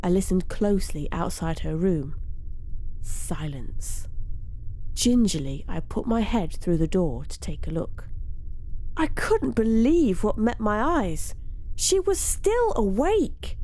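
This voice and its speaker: A woman reading a first-person story aloud in an expressive, theatrical storytelling manner. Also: medium pitch, slow, rough recording. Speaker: story narrator.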